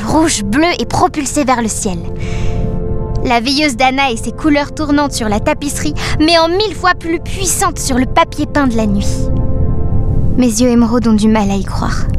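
Woman doing a little doll narrator for audio drama, highish voice, watchful n poetic, music behind. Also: natural voice. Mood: dreamy, expectant. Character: watchful, hopeful emerald-eyed toy doll narrating. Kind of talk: literary first-person audio drama narration.

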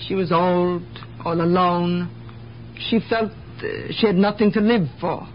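A woman's voice: fairly low pitch, steady tone, unhurried, lightly acted. A guarded, plain-spoken immigrant woman as a radio actress plays her, weary of the world and speaking in broken grammar. The old mystery broadcast is dull, with a faint bed of noise. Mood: confiding, resigned. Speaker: actor in a radio drama. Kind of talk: 1970s radio mystery acting. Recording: dull, noisy 1970s radio broadcast recording.

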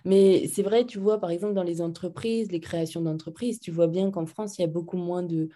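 A woman hosting a podcast, asking questions and chatting in a relaxed conversational way with frequent agreeing responses. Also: on the low side, a little fast. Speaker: podcast host, interviewer.

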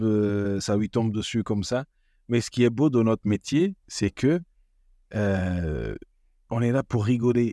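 A man's voice: lowish voice, dark tone, dry recording, clean studio sound. A man from Portugal with a Portuguese accent shaded by English, a playful stand-up comic chatting on a podcast. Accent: Portuguese with English influence. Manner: jokey, playful, laughs often. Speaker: stand-up comedian, podcast guest. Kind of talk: casual podcast chat.

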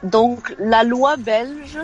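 A woman's voice: on the high side, extremely slow, highs cut off, over music. A woman in her fifties from Belgium, calling into a radio show by phone and giving her opinions frankly, often restarting her sentences. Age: 50s. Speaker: radio caller. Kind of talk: call-in radio chat.

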